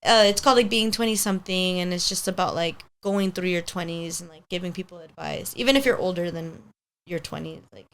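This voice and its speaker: A woman's voice: lowish voice, brisk. Chatty young woman, Spanish first language. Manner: rambling, heavy use of "like", upbeat. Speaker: podcast guest, hair stylist. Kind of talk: casual chat.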